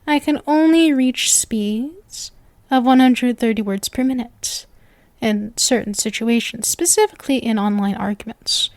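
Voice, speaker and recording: A woman's voice: a little high, unhurried, no echo. A woman voicing a sweet, lovestruck, clingy witch girlfriend in an ASMR roleplay, speaking to the listener in a coy, nervous, gushing and intimate manner. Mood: playful, coy. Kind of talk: ASMR roleplay, scripted acting addressed to listener.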